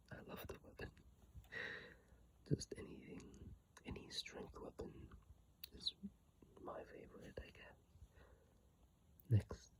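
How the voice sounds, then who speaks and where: An ASMR creator whispering breathily and softly into a phone mic, reading aloud with unhurried, hesitant casual asides. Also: poor audio. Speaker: ASMR video creator reading game descriptions. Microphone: iPhone microphone, close up.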